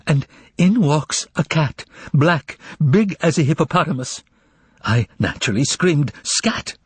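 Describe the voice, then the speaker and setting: A man voicing a distraught young woman secretary in an audiobook, speaking in a soft, slightly nasal tone and breathlessly through tears. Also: on the high side, animated, unhurried, breathy edge, no room sound, voice pushed up, full character voice. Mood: frantic. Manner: breathless, tearful storytelling. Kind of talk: audiobook character voice.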